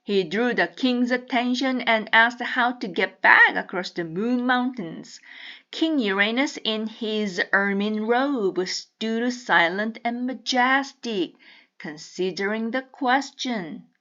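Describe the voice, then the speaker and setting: A woman reading a children's picture book aloud with deliberate, teacherly enunciation. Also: on the high side, sharp tone, lo-fi sound. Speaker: storybook reader.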